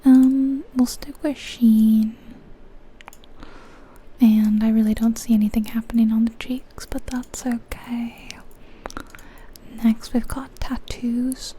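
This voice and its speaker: A woman's voice: fairly high pitch, warm tone, a little hiss or hum. A woman whispering in an ASMR style, musing to herself as she gives commentary on a video game. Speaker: ASMR creator.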